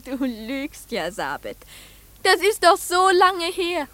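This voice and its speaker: An actress playing a dreamy, trusting young girl in a radio play, recounting and acting out memories in a soft, breathy voice. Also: very high-pitched, big pitch swings, slow, exaggerated voice. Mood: tender.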